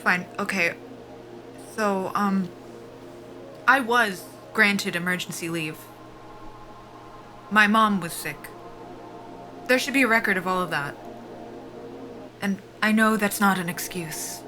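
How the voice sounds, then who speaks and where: A young woman soldier who sounds desperate and self-mocking. Her voice is bright and forceful, with nervous hesitations and a dry, wry edge. It is natural audio drama acting over faint music. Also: medium pitch, slow, pauses often. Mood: halting, subdued. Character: anxious, self-deprecating, foul-mouthed young woman soldier, desperate for work.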